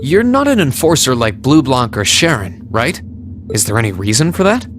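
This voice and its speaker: A man playing an earnest, kind young hero in a video game, speaking in a gentle, thoughtful and sincere way. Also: mid-range voice, sing-song, moderate pace, slight background noise, natural voice. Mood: curious. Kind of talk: dramatic video game voice acting.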